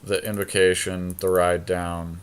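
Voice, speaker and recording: A man's voice: low voice, flat delivery, unhurried, clear, resonant tone. A man co-hosting a podcast, thinking aloud in casual, slangy commentary as he plays a card game draft. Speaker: podcast co-host.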